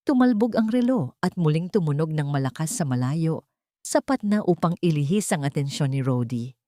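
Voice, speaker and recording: A woman's voice: deep voice, animated, moderate pace, dark tone, no room sound, studio quality. A woman reading scripted, dramatic story narration as the narrator.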